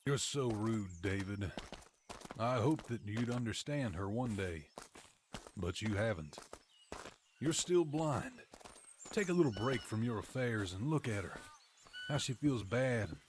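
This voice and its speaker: A man's voice: lowish voice, lively intonation, measured pace, long pauses, a little hiss or hum. A man playing a reflective, sentimental observer in a scripted game monologue, earnest and tender. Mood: reproachful, sad.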